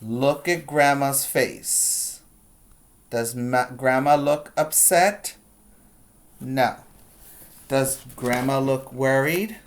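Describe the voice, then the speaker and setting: Preschool teacher for a read-aloud: slow pace, emphatic storytelling, explanatory asides to small children. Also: medium pitch.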